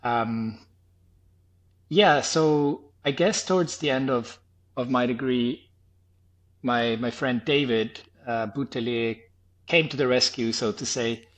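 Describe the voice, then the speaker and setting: A man in his thirties with a Swiss accent, softened by a faint New Zealand trace, reflects slowly on his path. He halts often, restarts his sentences and fills the gaps with ums. His voice sits at a middling pitch. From Switzerland. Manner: thoughtful, hesitant, many restarts and "um"s. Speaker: podcast guest, former health tech startup founder. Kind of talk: reflective interview answers.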